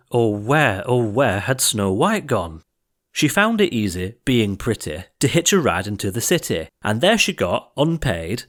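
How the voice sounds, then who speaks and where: A man reading comic verse as an audiobook narrator, with a theatrical, rhythmic delivery. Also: lowish voice.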